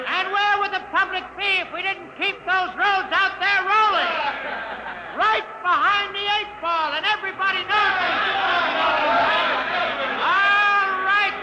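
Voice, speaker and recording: An actor playing a militant, resentful engineer rallying his fellows with defiant oratory in a 1950s radio drama. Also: very high-pitched. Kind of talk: 1950s radio acting, rabble-rousing speech. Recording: vintage radio recording, faint noise.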